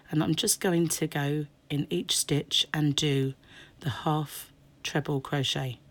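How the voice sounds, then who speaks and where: This is a hoarse woman in middle age, her voice sitting low and roughened by a lingering croak. She explains crochet steps in a loose, chatty way, full of ums and small restarts. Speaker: crochet tutor. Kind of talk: casual crochet tutorial. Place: indoors at a table.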